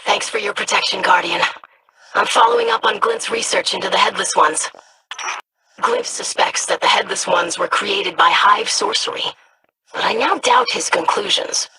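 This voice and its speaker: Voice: mid-range voice, bright, forceful tone, a little hiss or hum, poor audio. An earnest scholarly scribe. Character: earnest, studious scribe, curious and careful. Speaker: scribe investigating monsters. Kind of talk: video game voice lines.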